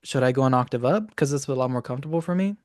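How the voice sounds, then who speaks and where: A man's voice: mid-range voice, racing, no echo, clean studio sound. A young man hosting an interview, asking short, direct questions about singing in a casual chat. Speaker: interview host and student singer.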